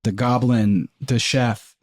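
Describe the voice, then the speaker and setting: A man's voice: mid-range voice, fairly even intonation, takes it slowly, dry recording. A man working as game master, improvising descriptions in an informal, conversational way. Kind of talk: improvised tabletop game narration.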